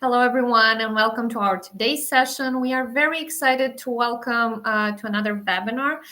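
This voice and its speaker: A woman's voice: a little high, fairly quick, pushed and bright. A woman working as an education advisor, hosting an online webinar in a friendly way with frequent hesitant "uh" fillers, speaking into a computer mic on a video call.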